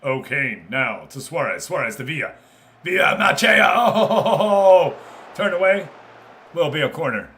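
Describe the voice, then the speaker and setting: A man commentating live on football management game matches as a streamer, mixing play-by-play with casual asides in an excitable manner. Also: fairly high pitch, a little slow.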